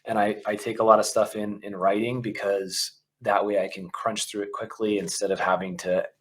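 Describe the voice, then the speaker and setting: A company CEO talks in an interview with a fairly low voice. He speaks candidly and works through his thoughts aloud, with frequent fillers. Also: level tone, moderate pace, fairly bright tone. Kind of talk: interview conversation.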